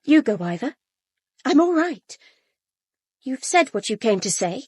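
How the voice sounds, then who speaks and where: Posh young brit woman, brittle n caustic, kinda high husky voice, radio play. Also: very animated, a little slow, darkish tone, dry recording, clean studio sound, heavily acted. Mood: curt. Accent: British. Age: young adult. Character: brittle, bitter young upper-class woman in her twenties. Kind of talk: British radio drama acting.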